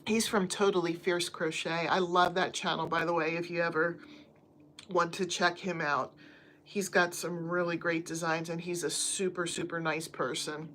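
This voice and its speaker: A woman's voice: on the low side, little pitch movement, moderate pace, a bit bright, a little hiss or hum. Chatty woman hosting a home livestream. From United States. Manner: chatty, friendly, talks to chat viewers by name. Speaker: crochet livestream host. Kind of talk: casual live chat reading viewer comments. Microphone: phone on a stand.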